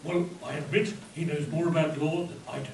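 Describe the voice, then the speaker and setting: A man's voice: a little high, steady tone, brisk, darkish tone, natural voice. A man playing a self-assured, loyal lawyer sidekick in a live spoof radio play. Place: convention hall before a live audience. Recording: echoey distant mic, audience noise.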